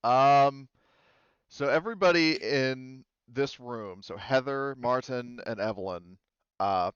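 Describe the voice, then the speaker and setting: A man's voice: medium pitch, takes it slowly, a bit bright, clear, resonant tone, dry recording, dull audio. A man running a tabletop roleplaying game as game master, explaining rules casually with lots of fillers.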